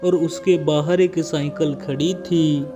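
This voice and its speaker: A woman's voice: low-pitched, steady tone, slow, over music. A woman narrating a scripted cartoon story as its storyteller.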